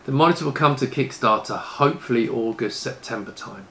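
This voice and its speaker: A man's voice: medium pitch, expressive, a little fast, pushed and bright, slight background noise. A man, presenting a casual retro computing vlog. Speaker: YouTube presenter and computer case maker. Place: indoor rooms with shelves of retro computers.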